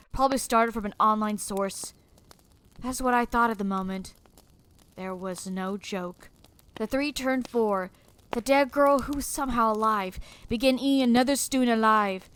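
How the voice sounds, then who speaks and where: A woman telling a horror story in a grave, dramatic way, dropping to a hush at times. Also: medium pitch.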